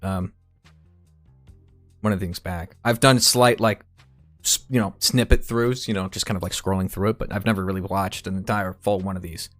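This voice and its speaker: A man's voice: deep voice, fairly even intonation, normal speed, fairly bright tone, no room sound, faint music behind. A man hosting a casual podcast, talking loosely and swearing as he thinks aloud. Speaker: podcast host. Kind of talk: casual chat.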